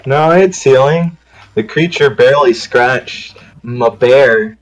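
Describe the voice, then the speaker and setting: Man as young visual novel heroine, irritable, defensive, curt, middle-pitched male voice. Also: slow, lightly acted, rough recording. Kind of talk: amateur visual novel voice acting.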